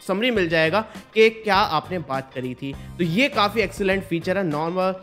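A man's voice: high-pitched, moderate pace, a bit bright, faint music behind. A man, giving a casual, conversational tech explainer to viewers as a video host, emphasizing points by repeating them. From India. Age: 20s. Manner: conversational, repetitive emphasis, addresses viewers informally. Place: small indoor studio. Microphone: desk microphone close in front.

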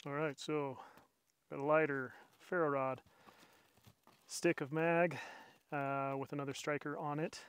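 A man's voice: on the high side, little pitch movement, measured pace, pauses often, fairly bright tone, clear, resonant tone. A middle-aged man hosting a camping vlog outdoors, chatty and self-deprecating as he explains his gear step by step to a camera. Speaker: outdoors channel host. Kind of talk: casual bushcraft vlog narration. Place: outdoors at a mountain campsite. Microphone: camera mic, body cam and camera on a tripod.

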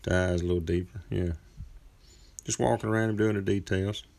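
Gravelly deep-voiced laid-back man. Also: fairly even intonation, brisk, darkish tone. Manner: laid-back, folksy, rambling with fillers. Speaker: chainsaw carver. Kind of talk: casual workshop narration. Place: outdoor driveway workspace.

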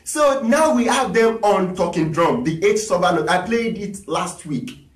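A man's voice: high voice, lively intonation, a little slow, far from the mic. A man, teaching talking drum on a live stream in a chatty, encouraging way. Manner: chatty, encouraging, reads viewer requests aloud. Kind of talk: live-streamed music lesson. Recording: echoey room, voice from off the mic.

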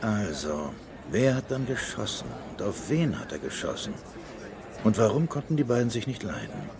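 A man's voice: lowish voice, big pitch swings, normal speed, vocal fry. Dry, authoritative male investigator. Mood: curt, dry. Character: brisk, dry male federal agent leading an investigation. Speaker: lead investigator. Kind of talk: German film dub acting. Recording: film soundtrack with crowd murmur.

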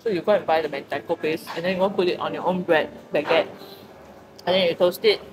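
A woman's voice: lowish voice, steady tone, quick pace, background noise. A young woman with a Singaporean accent chatting casually for a food vlog, dropping in Singlish particles. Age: young adult. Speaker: vlogger. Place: busy cafe and restaurant indoors. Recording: busy crowd chatter behind voice.

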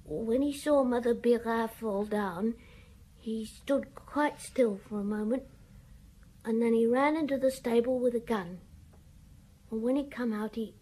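A woman's voice: a little high, measured pace, warm tone, breathy edge, rough recording. A woman playing a frightened, hesitant village schoolboy who stammers as he confesses, in a radio drama. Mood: confiding.

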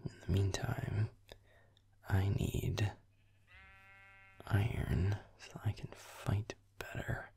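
A man playing a grumpy, sarcastic werewolf boyfriend in an audio roleplay, muttering dry game commentary in a husky, whispery voice. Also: little pitch movement, slow pace, long pauses, dark tone, heavily acted. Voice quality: husky, gravelly, whispery low voice.

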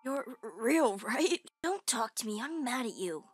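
A woman's voice: a little high, lively intonation, normal speed, lightly acted. A woman voicing a tearful, clingy young cartoon cup boy, emotional and pleading, in a comic dub. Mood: hurt, sulky. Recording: music under the voice. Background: background music.

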